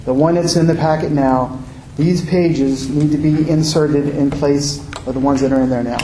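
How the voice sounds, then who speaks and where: A man's voice: a little high, steady tone, moderate pace, warm tone. A man handing out corrected pages and giving procedural instructions at a public meeting. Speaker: meeting official. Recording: echoey hall with faint noise.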